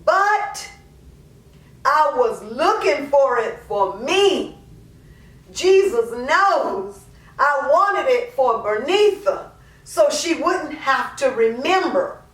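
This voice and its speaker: Woman acting haunted drug-addicted mother, very slow halting delivery, colloquial, confessional dramatic monologue, distant echoey room. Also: high voice, expressive, fairly bright tone, exaggerated voice. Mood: pained, regretful. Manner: halting, colloquial, emphatic storytelling. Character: crack-addicted mother, haunted, raw, confessional, self-deceiving. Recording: roomy echo, distant microphone.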